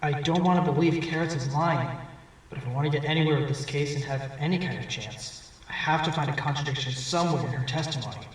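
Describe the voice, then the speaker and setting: Man acting a wry higher-voiced fox attorney. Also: fast talker, breathy edge, low-quality recording. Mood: pensive. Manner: wry, dramatic courtroom delivery. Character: wry, earnest young fox defense attorney. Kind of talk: fan audio drama acting.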